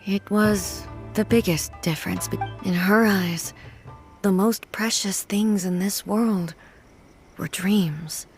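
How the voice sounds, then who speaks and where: A woman playing a composed, dignified, reflective immortal ruler in a video game, formal and measured. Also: medium pitch, soft background music. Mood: reflective, solemn. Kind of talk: video game character acting.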